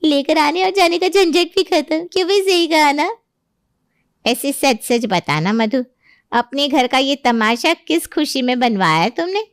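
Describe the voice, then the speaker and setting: Woman putting on a high, taunting gossipy auntie. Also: expressive, measured pace, no echo, studio quality. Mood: mocking. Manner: taunting, nosy. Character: mocking middle-aged neighbourhood gossip, a busybody auntie. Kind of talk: moral-story voice acting.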